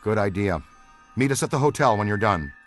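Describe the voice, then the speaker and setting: A man voicing an earnest, excitable paranormal investigator in a video game cutscene, explaining things with dramatic seriousness. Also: fairly low pitch, a little fast, loud music behind the voice, natural voice.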